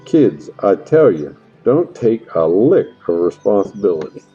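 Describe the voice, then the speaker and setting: A man plays a folksy old-fashioned farmer in a fantasy radio play, grumbling in a low, dark voice. The audio is a little dull. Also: sing-song, a little slow, husky, soft background music, exaggerated voice. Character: grumbling farmer, father of a lazy daughter. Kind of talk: fantasy audio drama acting.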